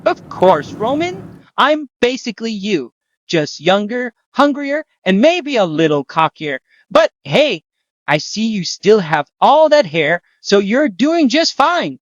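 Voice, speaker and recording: A man's voice: high voice, sing-song, takes it slowly, dry recording, lo-fi sound, pitched above the performer's own voice, heavily acted. A man voicing a cocky, teasing young male art professor. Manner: teasing, brash.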